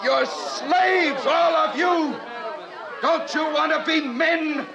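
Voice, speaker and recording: An actor playing an anguished, idealistic young savage in a declamatory style of fifties radio drama. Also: male voice, very high voice, slow pace, crowd noise, full character voice. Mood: impassioned, defiant. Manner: theatrical, declamatory. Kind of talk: 1950s radio drama acting. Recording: noisy vintage radio recording.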